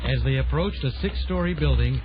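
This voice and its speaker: A man's voice: medium pitch, brisk. A man narrating a children's adventure audio drama in a dramatic, scripted storytelling style. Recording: dull lo-fi 1980s audio drama recording. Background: loud music score.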